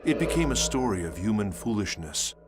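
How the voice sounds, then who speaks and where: Deep, grave male chronicler. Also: sing-song, brisk, music in the background. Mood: grave, solemn. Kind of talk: scripted dramatic narration.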